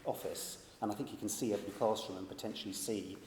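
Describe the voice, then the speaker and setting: Man panelist, reflective, stumbles into sentences, deep and mellow, roomy hall sound. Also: steady tone, quick pace, a little hiss or hum. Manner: reflective, repeating words as he starts. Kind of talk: panel discussion answer. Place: large conference hall. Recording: echoey hall.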